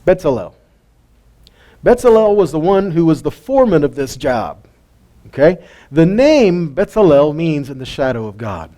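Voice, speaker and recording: A man's voice: a little high, big pitch swings, moderate pace, dark tone. A middle-aged man teaches scripture in a folksy way, asking his listeners questions and chuckling often. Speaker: Bible teacher. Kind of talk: casual Bible teaching.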